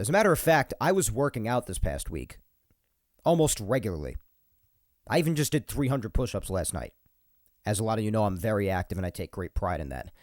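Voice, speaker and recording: A man's voice: medium pitch, no room sound, studio quality. Congested young man with a rambling podcast chatter. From New York. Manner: rambling, repeats himself, laughs between points. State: congested and a bit nasal from a cold. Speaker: podcast host. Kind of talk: casual solo podcast monologue. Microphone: podcast microphone.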